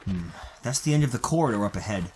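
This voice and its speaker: An actor playing an anxious, protective hero in an old-time radio thriller, earnest and hesitant. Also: male voice, on the low side, animated, fast, background noise, close to an everyday voice. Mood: wary. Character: anxious, protective young male hero trapped with his sweetheart. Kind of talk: 1930s-style radio thriller acting.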